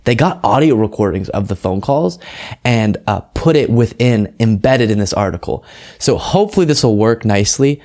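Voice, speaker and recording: American guy doing political commentary, lowish voice, conversational and earnest, kinda emphatic. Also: animated, moderate pace, darkish tone. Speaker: political commentary show host. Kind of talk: casual political commentary, reading translated quotes aloud.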